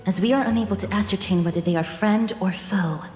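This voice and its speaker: A woman playing a courteous, composed young companion in a video game, speaking in a polite, measured and formal way. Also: medium pitch, darkish tone, lightly acted. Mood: cautious. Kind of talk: scripted video game dialogue. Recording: echoey reverberant hall, music behind.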